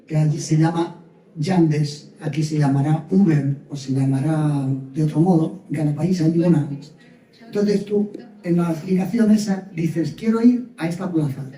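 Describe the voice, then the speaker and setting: A man's voice: sits high, dark tone. A man from Spain, telling travel stories to a group in a chatty way and acting out little dialogues. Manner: chatty storyteller who acts out little dialogues, laughs often. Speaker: speaker sharing travel experiences. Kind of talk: informal talk to a group. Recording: distant mic in an echoey room.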